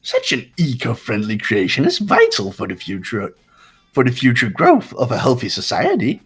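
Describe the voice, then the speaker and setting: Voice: mid-range voice, wildly swooping pitch, normal speed, fairly bright tone, husky, soft background music, full character voice. A performer voicing a gleeful, sinister robot bear mascot in a fan-voiced game, taunting in a mock-cute way. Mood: smug salesman.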